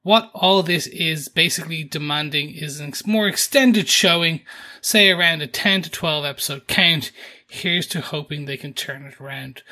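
A man's voice: a little high, bright, forceful tone. A man co-hosting a podcast, chatting casually about a TV show and reading out listener mail, sometimes repeating words as he thinks. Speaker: podcast co-host. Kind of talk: casual TV recap chat, reading listener email.

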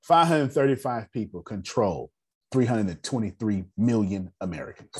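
A man in his forties with a raspy voice, hosting a casual group meeting and lecturing in a loose, talkative way. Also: on the low side, expressive, moderate pace. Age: 40s. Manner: loose, talkative, laughing asides. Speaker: meeting host.

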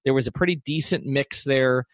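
A man's voice: mid-range voice, moderate pace, no echo, clean studio sound. A man hosting a sports podcast, giving conversational analysis in an emphatic way and repeating phrases for stress.

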